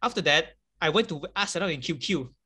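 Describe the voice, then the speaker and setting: A fairly high, bright-voiced man whose first language is Chinese talks loosely as a guest. His tone is forceful and clear, and he keeps laughing lightly between thoughts and leans on fillers as he goes. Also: lively intonation, fairly quick. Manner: chatty, laughs often, many fillers.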